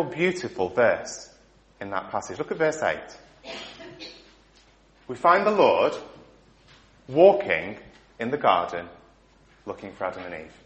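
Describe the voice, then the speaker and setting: A middle-aged man preaching a sermon, asking the listeners questions and dropping to soft endings, his voice giving out. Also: mid-range voice. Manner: rhetorical questions, emphatic rises and soft endings. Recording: echoey hall.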